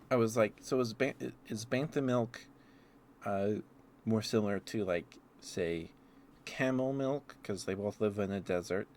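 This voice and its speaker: Male ASMR streamer, low register, meandering casual delivery, some ambient noise behind the voice. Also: darkish tone. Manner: meandering, often chuckling to himself. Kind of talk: rambling ASMR livestream chat.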